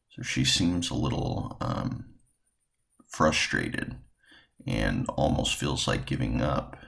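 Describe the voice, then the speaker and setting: A man's voice: extremely low pitch, vocal fry, a bit bright. A man teaching informally, guiding students through a read-along lesson. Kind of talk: informal spoken lesson instruction.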